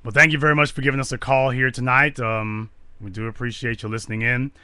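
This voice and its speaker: A man's voice: mid-range voice, little pitch movement, quick pace, bright, forceful tone, clear, resonant tone, no room sound. A man hosting a call-in talk show, blunt and lecturing, impatient with callers.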